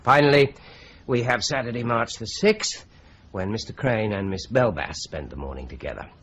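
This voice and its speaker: Methodical detective, measured and deliberate, lively pitch, slightly muffled old radio sound. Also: male voice, a little hiss or hum. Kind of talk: 1950s-style BBC radio drama.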